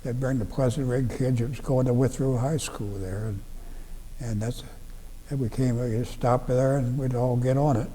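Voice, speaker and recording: A man in his nineties from Ohio, recalling his youth in a slow, halting way with many restarts. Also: mid-range voice, fairly even intonation, mellow, big-room sound, slight background noise, rough recording. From Ohio, USA. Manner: halting, plain-spoken, frequent restarts and fillers. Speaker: veteran interviewee. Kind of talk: oral history recollection. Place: library room.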